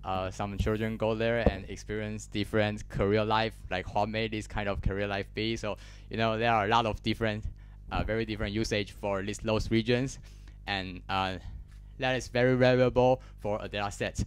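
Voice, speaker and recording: A man's voice: on the low side, flat delivery, moderate pace, smooth. A man in his twenties from Taiwan with a Taiwanese accent, giving a technical talk in a lecture hall on a handheld mic, hesitant with many fillers and restarts. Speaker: conference speaker.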